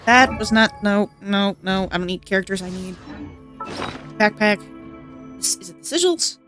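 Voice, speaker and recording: A woman's voice: mid-range voice, moderate pace. Rambling woman game streamer. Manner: rambling, reactive, sweary. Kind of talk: casual gameplay commentary. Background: game music.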